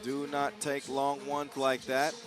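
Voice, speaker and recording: A man calling a basketball game play by play, energetic and jokey, getting louder on big plays. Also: medium pitch, slow pace. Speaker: play-by-play commentator. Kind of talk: live basketball commentary. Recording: music playing behind the commentary.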